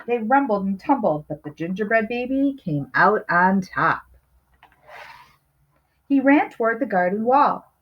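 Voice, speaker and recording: Woman reading aloud, slightly muffled recording. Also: medium pitch. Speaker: storybook reader. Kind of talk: children's read-aloud narration with asides. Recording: slightly dull, lo-fi sound.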